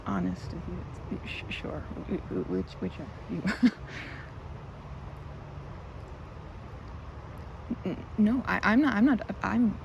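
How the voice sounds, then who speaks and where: A woman's voice: mid-range voice, lively intonation, normal speed, highs cut off. A woman playing a timid, flustered young woman in an ASMR roleplay, stammering and hesitant, rambling self-effacingly. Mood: shy, flustered. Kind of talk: ASMR roleplay, one-sided conversation. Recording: clearly noisy outdoor ambience.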